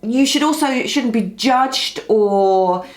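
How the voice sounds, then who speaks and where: A middle-aged woman, born in Denmark, chatting as a guest and often restarting her sentences. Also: a little high. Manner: halting, many restarts and ums. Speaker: guest, host's mother. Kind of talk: casual chat. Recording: echoey room.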